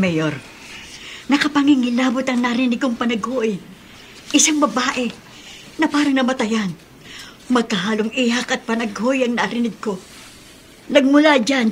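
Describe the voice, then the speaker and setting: An actress playing a frightened, insistent townsperson giving a spooked report in a Filipino horror radio drama. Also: female voice, a little high, slight background noise, natural voice. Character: frightened townsperson reporting eerie wailing to the mayor.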